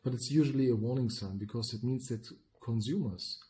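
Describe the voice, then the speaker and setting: Middle-aged german guy explaining stuff on a podcast, mellow, keeps saying right. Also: medium pitch. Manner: measured, explanatory, with frequent "right?" tags. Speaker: podcast co-host, business consultant.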